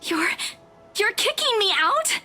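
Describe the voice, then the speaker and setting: A shaken young working woman in a visual novel game. Her voice is high and very expressive, hesitant and apologetic in manner. Music runs quietly behind it. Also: slow, heavily acted. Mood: dismayed. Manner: hesitant, self-blaming.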